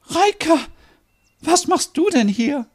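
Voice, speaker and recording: A man voicing an emotional, affectionate older mother with a tender, soft delivery in an audio story. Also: very high-pitched, wildly swooping pitch, slow pace, breathy edge, pitched above the performer's own voice, full character voice. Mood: overjoyed, tearful.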